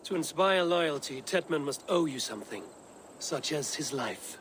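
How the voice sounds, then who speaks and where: An actor playing a plain-spoken Viking raider in a video game, wry and measured in delivery. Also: male voice, a little high, expressive, fairly bright tone, a little hiss or hum. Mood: calculating. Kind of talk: video game dramatic acting.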